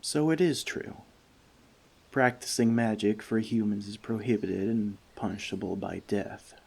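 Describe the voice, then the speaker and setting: A gentle, long-lived forest elf, played by a man in an ASMR fantasy roleplay. He speaks softly and slowly, with a creaky edge to his voice. His wording is measured, slightly formal and thoughtful. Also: lowish voice, heavily acted. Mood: thoughtful, earnest. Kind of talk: soft-spoken ASMR roleplay addressed to the listener.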